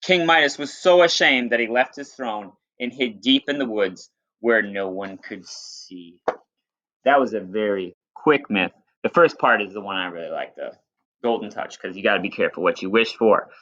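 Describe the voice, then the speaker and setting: A man's voice: lowish voice, normal speed, a bit bright. A man reading a story aloud like a teacher, with informal, instructive asides to his listeners. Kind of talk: read-aloud storytelling with plain explanatory asides. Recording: dull, lo-fi sound.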